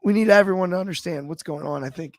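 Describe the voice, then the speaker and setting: A man with a slightly raspy voice, chatting about wrestling on a panel show in a thoughtful, halting way with many restarts. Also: high-pitched, no room sound, clean studio sound. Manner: thoughtful, frequent restarts and fillers. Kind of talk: casual discussion.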